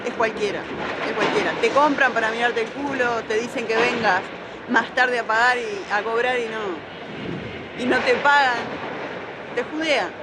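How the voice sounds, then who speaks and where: A woman's voice: fairly high pitch, fairly even intonation, normal speed. Blunt woman over traffic noise. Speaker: market coffee vendor.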